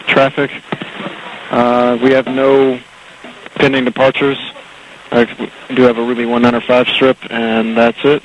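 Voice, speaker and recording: A man working as an air traffic controller, giving procedural information in a halting, matter-of-fact way over radio. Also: medium pitch, flat delivery, low-quality recording. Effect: aviation radio and landline. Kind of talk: radio phraseology, procedural position briefing.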